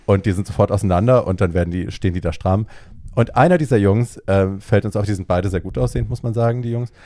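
A man co-hosting a podcast, casually retelling a film's plot in a lively way and dropping in English phrases. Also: on the low side, quick pace, dry recording, studio quality. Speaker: podcast co-host. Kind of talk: casual chat retelling a film.

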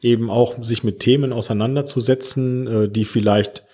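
A man's voice: medium pitch, steady tone, a little fast, mellow, husky. A man explaining things earnestly in a casual interview, as a teacher and social worker.

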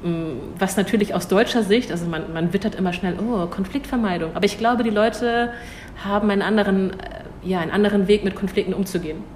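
A woman's voice: mid-range voice, fast talker, darkish tone, slight background noise. Thoughtful young woman, restarts often. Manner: thoughtful, hesitant with restarts, laughs easily. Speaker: cultural attaché at an embassy. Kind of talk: interview answers.

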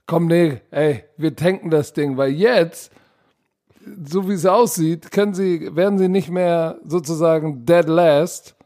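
Excitable German man, slightly high voice. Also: unhurried. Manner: excitable, slangy, repeats words. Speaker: podcast co-host. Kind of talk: casual sports chat.

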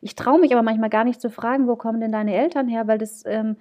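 A woman's voice: a little high, fairly even intonation, quick pace, a little mellow. A woman, hosting a podcast in casual, chatty talk, often laughing at herself. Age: 30s. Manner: chatty and laughing, self-mocking. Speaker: podcast host. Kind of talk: casual chat.

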